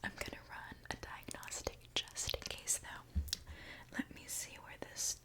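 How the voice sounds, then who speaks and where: A woman whispering softly in an ASMR roleplay as a friendly, caring mechanic, with a gentle, soothing manner. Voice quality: breathy soft whisper. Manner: gentle, unhurried, soothing reassurance. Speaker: ASMR performer playing a robot mechanic.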